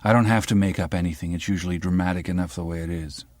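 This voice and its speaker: A guarded police lieutenant with a dry, deadpan way of answering, voiced by a man reading the story. His voice sits low and level, recorded close and dry. It comes from an audiobook-style reading. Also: fast, dry recording, close to an everyday voice.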